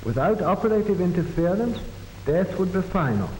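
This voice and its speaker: A man reading formal, measured, solemn narration for a 1940s scientific documentary, on an old film soundtrack. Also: sits high, big pitch swings, darkish tone, echoey room, in a large hall, a little hiss or hum, poor audio.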